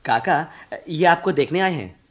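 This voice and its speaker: An actor playing a courteous, watchful caretaker in a radio drama, polite and inquiring. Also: male voice, fairly high pitch, animated, unhurried. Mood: courteous, brisk. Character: courteous, watchful male caretaker of an old uncle.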